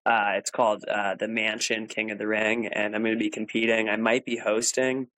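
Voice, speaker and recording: A man's voice: fairly low pitch, level tone, moderate pace, a bit bright, no echo, low-quality recording. Laid-back man plugging his comedy shows. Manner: laid-back, lots of ums and uhs. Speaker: stand-up comedian and podcast host. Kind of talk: casual show plugs.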